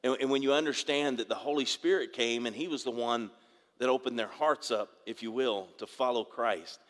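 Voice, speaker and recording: A male pastor preaching a sermon in a church, his voice raspy from dry air, often repeating words and asking for amens. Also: medium pitch. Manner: halting, repeats words, asks congregation for amens. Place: church sanctuary. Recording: long reverb of a large hall.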